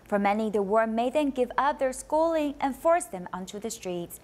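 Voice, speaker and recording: A woman reading scripted news narration in a clear, deliberate way. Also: a little high, expressive, darkish tone.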